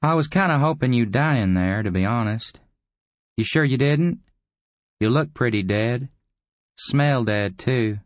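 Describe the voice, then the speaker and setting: A man's voice: medium pitch, measured pace, long pauses, no room sound, pitched above the performer's own voice, lightly acted. A man voicing a cocky, taunting killer-for-hire in an audiobook. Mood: mocking. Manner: casual, taunting. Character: cocky, mocking male killer-for-hire with easy drawl. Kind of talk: audiobook character acting.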